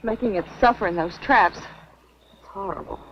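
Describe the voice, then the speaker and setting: An actress playing an earnest, tender, worried sweetheart in a 1950s B-movie. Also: female voice, medium pitch, normal speed, lightly acted. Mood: worried, pleading. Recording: muffled old film, faint background noise.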